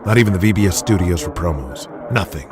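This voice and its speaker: An actor playing a hard-nosed, commanding wrestling promotion boss in an audio drama. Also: male voice, sits low, lively intonation, quick pace, crowd noise. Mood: firm, businesslike. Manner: blunt, commanding.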